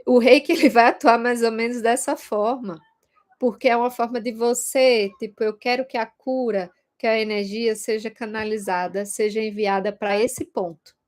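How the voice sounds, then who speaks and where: A woman with a Brazilian Portuguese accent speaks in a fairly high register. She teaches as if chatting directly with her students, explaining informally and adding little tag questions to check that they follow. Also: steady tone, moderate pace. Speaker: Reiki course teacher.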